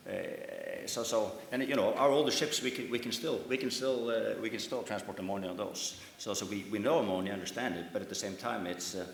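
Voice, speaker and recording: A man with a lowish voice speaks on a business panel and works his way through each point with many restarts and fillers. The room is echoey, and his voice carries off the walls of the conference hall. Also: brisk, vocal fry, hardly any pauses. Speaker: shipping company CEO on a panel.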